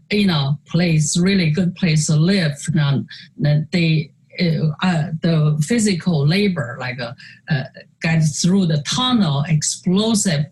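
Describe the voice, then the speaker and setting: An older woman whose first language is Chinese, speaking English slowly and haltingly with many fillers and restarts as she presents her artwork in a video call talk. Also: deep voice, a little mellow, slight background noise, poor audio. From China. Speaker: artist. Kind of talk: virtual artist talk presenting slides.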